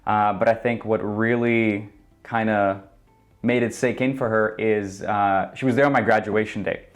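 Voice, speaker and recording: Low-voiced earnest young man. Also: steady tone, a little slow, faint music behind. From Ukraine. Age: 20s. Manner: earnest, thoughtful, with frequent ums. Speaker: guest. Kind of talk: reflective interview answers. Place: indoor studio.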